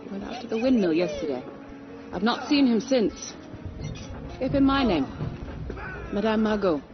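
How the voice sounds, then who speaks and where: A woman's voice: medium pitch, animated, moderate pace, lightly acted. A woman playing a worried, outspoken townswoman in a video game, agitated and pleading. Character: worried, sharp-tongued French townswoman, outspoken. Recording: dull game audio under loud music.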